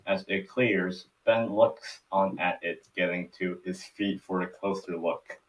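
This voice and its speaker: A man's voice: low voice, fairly even intonation, unhurried, recorded from a distance, rough recording. A man narrating stage directions for a cartoon table read in a scripted storytelling style.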